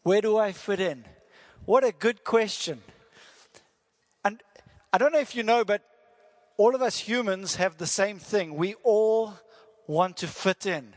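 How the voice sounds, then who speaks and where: A man's voice: sits high, unhurried, pauses often. A South African man preaching as a visiting missionary, friendly and joking, asking the congregation questions. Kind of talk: sermon with prayer. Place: church hall. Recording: church hall reverb.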